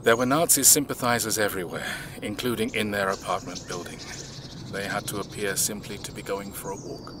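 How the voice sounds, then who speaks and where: A man acting in an audio drama, playing a reserved, measured man who recounts his family's past in a reflective way. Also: on the low side, background noise, close to an everyday voice.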